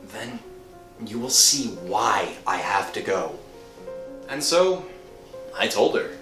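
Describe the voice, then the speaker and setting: A man playing a frantic, guilt-ridden working-class thief in an old-time radio thriller, agitated and halting as he confesses. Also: medium pitch, voice pushed down. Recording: roomy echo with music underneath.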